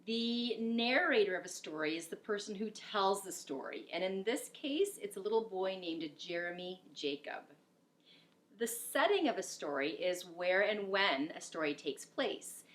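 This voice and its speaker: A middle-aged woman reads a picture book aloud like a teacher. Her voice is bright and very lively in pitch, with slow, deliberate phrasing that explains things clearly to children. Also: poor audio. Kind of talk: expressive children's story reading.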